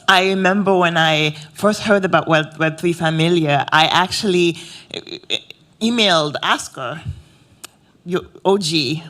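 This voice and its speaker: Earnest man moderating, higher voice. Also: pushed and bright. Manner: earnest, rambling with "you know"s. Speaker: panel moderator. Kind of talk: introducing speakers at a panel.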